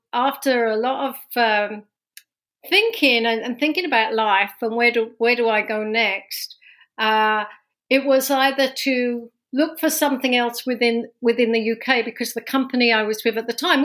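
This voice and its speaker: A woman's voice: fairly high pitch, fairly bright tone. A woman from London with a London accent, a guest on a podcast, telling her own story in casual talk with many restarts. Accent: London English. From London, England. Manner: storytelling, with frequent restarts and laughs.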